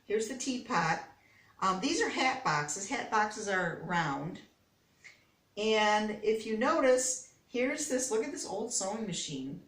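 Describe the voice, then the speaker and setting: A woman reading a children's story aloud, pausing to explain words and pictures as she goes. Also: medium pitch, lively intonation, a bit bright. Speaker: storybook reader. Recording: echoey room, distant microphone.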